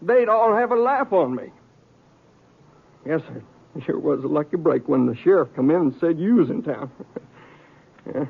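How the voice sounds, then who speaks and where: Sly, high-pitched rustic outlaw. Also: male voice, animated, unhurried, gravelly, long pauses, slightly rough, narrow, tinny audio, heavily acted. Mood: smug. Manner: folksy rustic drawling, needling. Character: sly, stubborn, vain wounded male outlaw. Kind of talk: 1950s radio western acting. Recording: thin, band-limited old radio recording.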